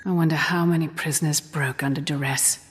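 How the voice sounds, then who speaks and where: A woman's voice: sits low, fairly even intonation, fairly quick, slightly rough. An actress playing a curious explorer in a video game, musing aloud as she observes, in a long echo. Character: curious, musing female explorer of ancient ruins. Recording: long echoing hall reverb.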